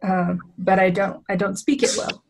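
A woman's voice: medium pitch, fairly even intonation, moderate pace. Plainspoken woman practicing Spanish. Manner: plain, friendly, a little hesitant. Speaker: Spanish learner. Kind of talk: casual conversation practice on a video call. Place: home room. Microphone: video call with earphones.

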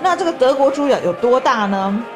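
Measured woman. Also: high-pitched, lively intonation, music in the background. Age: middle-aged. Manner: descriptive, measured, enthusiastic about food. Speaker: travel vlog co-host. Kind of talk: casual travel commentary voice-over.

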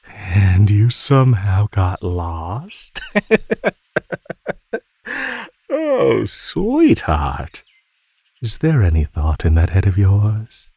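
A man voicing a teasing, menacing male naga in an ASMR roleplay, speaking in a mocking, condescending drawl with pauses. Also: on the low side, huge pitch swings, warm tone, slightly rough, no echo, full character voice. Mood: gleeful belittling. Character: teasing, menacing male naga predator toying with captive prey.

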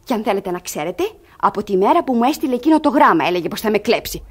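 An actress playing a crafty young ward in a radio theatre comedy, coaxing and feigning wounded virtue with theatrical emphasis. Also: female voice, medium pitch, husky. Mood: indignant, sly. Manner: theatrical, emphatic, artfully expressive.

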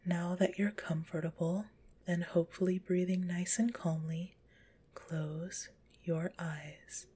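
A woman guides a relaxation in a very breathy whisper. Her delivery is slow and gentle, pitched on the low side. She sounds a little stuffed up in the nose, soothing throughout. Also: little pitch movement. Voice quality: stuffy-nosed. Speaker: guided visualization narrator.

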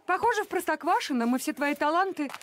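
A woman playing a firm, brisk mother in a children's cartoon, expressive and assertive. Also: high-pitched. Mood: probing.

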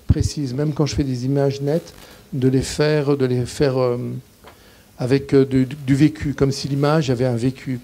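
A man in his sixties, a guest cinematographer, answering questions thoughtfully and haltingly, often restarting as he searches for words, speaking into a handheld mic in a small indoor room. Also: medium pitch, mellow. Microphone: handheld mic, standing.

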